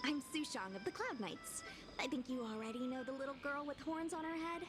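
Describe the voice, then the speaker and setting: An actress playing an eager, bubbly young female knight in a video game, energetic and plainspoken. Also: sits high, fairly quick, lightly acted. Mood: confident. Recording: loud music behind the voice.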